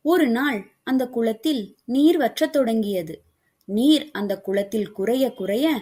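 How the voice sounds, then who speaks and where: A woman's voice: a little high, dark tone. A woman reading scripted moral stories for children as a storybook narrator.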